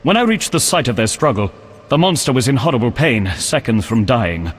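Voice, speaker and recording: An actor playing an earnest, pious knight in a video game, speaking in a formal, courteous and solemn manner. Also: male voice, medium pitch, very animated, fairly quick, slightly rough. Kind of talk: video game character acting. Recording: long echoing reverb.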